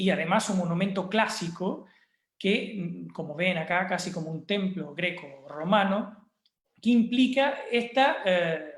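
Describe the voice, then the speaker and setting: A man with a Chilean Spanish accent giving a university course presentation over a video call, thoughtful and pausing with fillers and restarts. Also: sits high, reverberant. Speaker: university lecturer.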